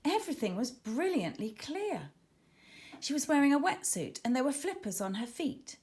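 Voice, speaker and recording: Expressive woman narrating a children's storybook. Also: high-pitched, moderate pace. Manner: dramatic, expressive, aimed at children. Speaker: author reading her own children's book.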